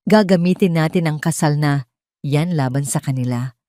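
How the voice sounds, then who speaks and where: A woman's voice: deep voice, normal speed, no echo, studio quality, lightly acted. Woman voicing a firm, protective Filipino friend. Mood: fierce determination. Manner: calm but emphatic. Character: firm, protective, determined friend in a romance drama.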